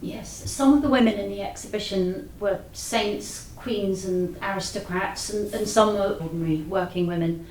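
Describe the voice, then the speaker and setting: A woman interviewer asks questions conversationally. She sounds away from the microphone in an echoey space, with slight noise, and her pitch is average. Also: normal speed, hardly any pauses, darkish tone. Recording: echoey, distant mic, faint noise.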